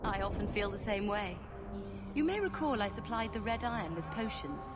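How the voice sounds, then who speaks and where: A woman's voice: medium pitch, expressive, moderate pace, over loud music, close to an everyday voice. An actress playing a genteel, haughty lady potion merchant in a video game, speaking in an arch, poised and formal way. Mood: businesslike, courteous. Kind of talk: video game cutscene acting. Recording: clear background ambience noise.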